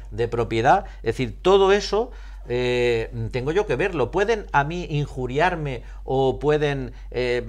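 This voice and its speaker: Man explaining in a halting, questioning way. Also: medium pitch. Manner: didactic, poses and repeats rhetorical questions; halting, searches for words. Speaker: psychologist being interviewed. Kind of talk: explanatory interview answers.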